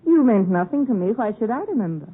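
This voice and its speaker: A woman's voice: on the high side, big pitch swings, quick pace, husky. A woman playing a cold, proud, aloof young lady in 1950s radio drama style, on a tinny, narrow broadcast recording. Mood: cool, detached.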